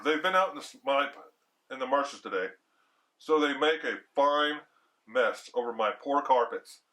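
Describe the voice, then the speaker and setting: A man voicing a brisk, cheerful, gushing hostess lady in a story reading. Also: mid-range voice, expressive, bright, forceful tone. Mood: brisk, chatty. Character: brisk, chattering middle-class hostess lady.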